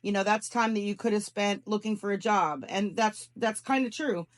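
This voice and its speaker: A woman's voice: medium pitch, moderate pace. A woman giving casual advice on a phone call, cautioning and repeating her points for emphasis. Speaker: scam investigator giving job advice. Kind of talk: casual advisory phone conversation.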